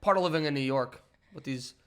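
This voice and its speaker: A man's voice: mid-range voice, fast talker. A man co-hosting a casual sports and pop culture podcast, chatting in an upbeat, joking way and slightly under the weather. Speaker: podcast co-host. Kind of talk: casual chat. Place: apartment in a big city.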